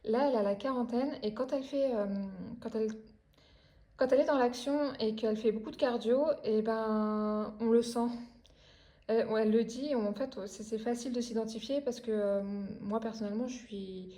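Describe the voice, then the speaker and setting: A woman's voice: on the high side, steady tone, normal speed, warm tone. A French woman in her thirties chatting casually about her reading, with lots of hesitations and restarts. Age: 30s. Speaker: craft and book vlogger. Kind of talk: casual chat about books.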